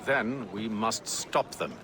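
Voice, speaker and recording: An actor playing a firm, businesslike conspirator giving reports in a video game. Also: male voice, on the low side, takes it slowly, background noise. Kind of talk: dramatic video game acting.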